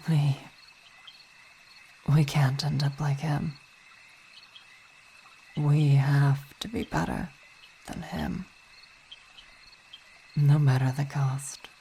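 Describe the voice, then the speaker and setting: Brief: woman performing a weary vampire queen-wife, whispery and halting, very low and flat, faint crickets behind. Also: slight background noise, exaggerated voice. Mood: worried, earnest. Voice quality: soft, often whispered. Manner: halting, reflective, sighing. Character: weary, devoted female vampire ruler and wife. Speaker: ASMR roleplay performer. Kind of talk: ASMR roleplay.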